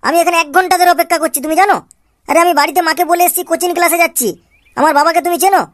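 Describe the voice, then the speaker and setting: A woman's voice: very high voice, fairly even intonation, rapid-fire. A woman voicing a cheeky, boastful young man full of schemes in a comic cartoon, chatty and wheedling. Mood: annoyed. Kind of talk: comic amateur cartoon dialogue.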